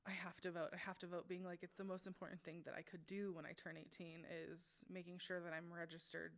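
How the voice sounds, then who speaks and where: A woman in her late twenties from Washington State, co-hosting a podcast in a relaxed, conversational way and laughing along. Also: lowish voice, fast talker. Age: late 20s. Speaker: podcast co-host. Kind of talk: casual chat.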